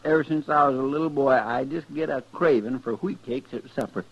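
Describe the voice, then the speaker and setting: A man's voice: medium pitch, brisk, close to an everyday voice. A man with a rural American drawl playing a simple, good-natured, deferential deputy sidekick in a 1950s western radio drama. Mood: naive, sheepish. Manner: folksy, deferential, twangy. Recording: muffled 1950s radio recording.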